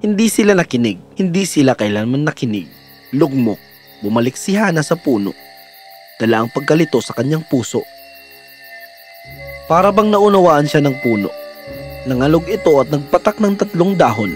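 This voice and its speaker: A man's voice: fairly high pitch, big pitch swings, normal speed, pauses often, faint music behind. A man narrating a scripted fairy tale in a dramatic storytelling manner.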